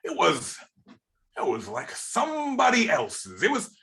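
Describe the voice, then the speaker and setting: A man's voice: high voice, wildly swooping pitch, unhurried, gravelly, pushed and bright, heavily acted. A man playing a wily, blustering pirate seaman in a radio play, speaking in pirate dialect. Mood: urging, blustering.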